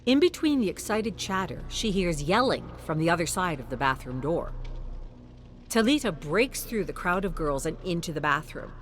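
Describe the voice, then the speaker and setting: A narrator reading a scripted story in an expressive storytelling manner. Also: medium pitch, moderate pace. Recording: music under the voice. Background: music.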